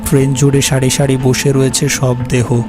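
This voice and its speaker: A man delivers dramatic first-person horror narration as a storyteller. His voice sits at a middle pitch, with music clearly playing behind it. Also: completely flat delivery, normal speed.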